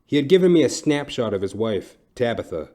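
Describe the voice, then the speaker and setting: An actor playing a wry, unruffled private detective, delivering noir-style lines in a dry, deadpan way for an audio drama. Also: male voice, medium pitch, brisk, lightly acted. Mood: wry narrating.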